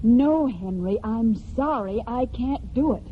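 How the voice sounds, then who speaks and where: Earnest farm woman, highish voice. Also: lively intonation, a little slow. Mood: firm. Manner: plain, homespun speech. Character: earnest, firm rural woman who runs a farm. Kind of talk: 1940s radio drama acting. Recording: tinny, noisy old radio broadcast.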